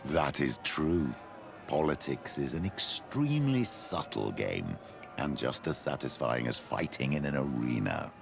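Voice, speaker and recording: A man's voice: rumbling low, big pitch swings, unhurried, over music, exaggerated voice. An actor playing a sly, ambitious old clergyman burdened by guilt, speaking formally and theatrically in a video game. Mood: gloating. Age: older. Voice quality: gravelly, husky. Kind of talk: video game cutscene acting.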